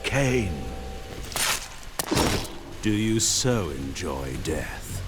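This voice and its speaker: An actor playing a proud, sardonic ancient vampire lord who speaks theatrically in a video game cutscene. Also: male voice, sits low, big pitch swings, extremely slow, exaggerated voice. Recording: loud orchestral music behind.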